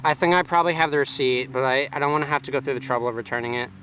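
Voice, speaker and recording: A man's voice: mid-range voice, racing, a little hiss or hum, rough recording. Rambling man filming his garden. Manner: rambling, relaxed, lots of "like" and "um". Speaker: gardening vlogger. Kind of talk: casual self-filmed vlog. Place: outdoors in a home garden. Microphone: handheld self-filming camera.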